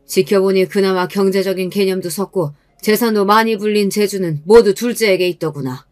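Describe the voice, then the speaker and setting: A woman's voice: medium pitch, a little mellow, no echo, lightly acted. A woman voicing a stern, blunt old father-in-law who lays down his decisions in a narrated family story. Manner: commanding, blunt. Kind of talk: acted dialogue in a narrated story.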